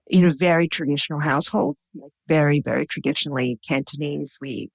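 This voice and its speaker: A woman's voice: low voice, fairly quick, vocal fry, breathy edge, no echo. A woman with an Australian accent, a guest cook and writer, talking reflectively with lots of "like" and "you know". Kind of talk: conversational interview.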